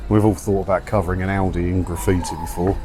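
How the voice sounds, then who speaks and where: A man's voice: deep voice, flat delivery, fast. A man chatting casually as he walks outdoors, giving sardonic, grumbling commentary on the news and often asking rhetorical questions. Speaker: vlogger hosting a commentary video. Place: outdoors, walking around an English seaside town. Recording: outdoor windy street noise.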